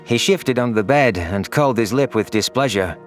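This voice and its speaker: A man's voice: mid-range voice, animated, moderate pace, loud music behind the voice. A man narrating a scripted science fiction story in the first person as an anguished, guilt-ridden alien. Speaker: narrator of a sci-fi audio story.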